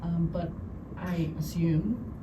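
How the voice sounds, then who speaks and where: A woman with a lowish voice hosts a festival Q&A, asking long, rambling questions. The recording is echoey and far from her. Loud room noise covers much of it. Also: slow pace, over music. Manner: rambling, loosely worded questions. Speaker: Q&A host. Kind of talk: festival Q&A moderating. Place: cinema event room. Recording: echoey, distant, very noisy.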